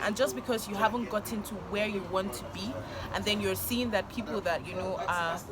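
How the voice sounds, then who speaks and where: A woman's voice: mid-range voice, poor audio. Female host, thoughtful and filler-heavy; outdoor recording with heavy crowd noise. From Nigeria. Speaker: vlog host. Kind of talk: casual interview. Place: outdoors by a busy street.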